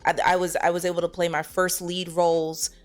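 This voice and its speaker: A woman's voice: on the low side, little pitch movement, a little fast, soft background music. A young adult American woman speaking casually as an actress being interviewed.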